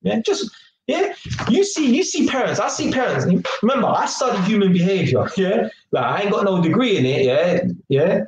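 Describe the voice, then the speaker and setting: A man, talking to camera in a small kitchen. He speaks in a high, very animated voice and keeps dropping slang and "yeah?" to check you're with him. The room sounds echoey, with a faint hiss behind him. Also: moderate pace, lo-fi sound. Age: 20s. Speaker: vlogger. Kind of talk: casual monologue to camera. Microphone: webcam at arm's length.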